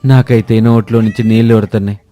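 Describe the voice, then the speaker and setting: A man as an eager deep-voiced village neighbor. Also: level tone, fast, mellow, soft background music, natural voice. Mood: curious, craving.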